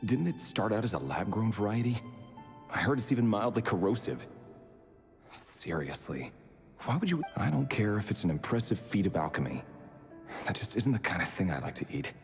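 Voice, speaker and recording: An actor voicing a dry, grumbling man reading his private diary in a video game, in a measured, wry manner. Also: fairly low pitch, very animated. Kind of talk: video game voice-over monologue. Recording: long echoing reverb effect. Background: game music.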